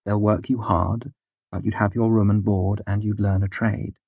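A man's voice: on the low side, level tone, moderate pace, vocal fry, breathy edge, dry recording, dull audio, pitched above the performer's own voice. A man voicing a worldly, tough but caring woman in an audiobook, with an arch, matter-of-fact, exasperated manner. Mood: brisk, arch. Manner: matter-of-fact, arch, scolding yet gentle. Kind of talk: audiobook character dialogue.

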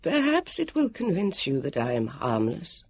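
A woman's voice: sits low, wildly swooping pitch, moderate pace, darkish tone, breathy edge, exaggerated voice. Woman playing a quavering, fluting, nervous male warrior. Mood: earnest, hesitant. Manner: hesitant, wordy, old-fashioned politeness. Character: nervous, gentle, high-voiced male warrior, a verbose would-be poet.

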